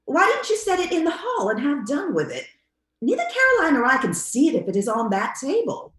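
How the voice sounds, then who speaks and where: An actress playing a matronly sister in an old-time radio style ghost drama. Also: female voice, sits high, wildly swooping pitch, a little fast, fairly bright tone, echoey room, heavily acted. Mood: exasperated. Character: Mrs. Brigham, placid matronly sister turning horrified.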